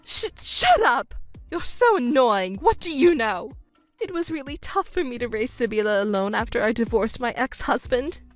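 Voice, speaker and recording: A woman's voice: on the high side, expressive, fairly quick, few pauses, no echo, soft background music, lightly acted. A woman voicing a selfish, self-pitying middle-aged mother in a scripted family drama, whiny and indignant in a melodramatic way. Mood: bitter fury.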